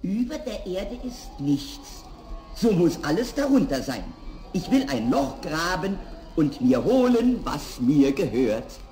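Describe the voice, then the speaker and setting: An actor playing a small shaggy devil in a 1960s fairy-tale radio play, sly, gloating and hot-tempered in a theatrical way. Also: male voice, sits high, sing-song, takes it slowly, warm tone, reverberant, faint music behind, exaggerated voice. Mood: scheming.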